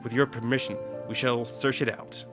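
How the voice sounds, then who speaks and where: A man's voice: medium pitch, flat delivery, moderate pace, music in the background, lightly acted. A man voicing a pompous, formal talking magic book in a game story. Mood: lofty.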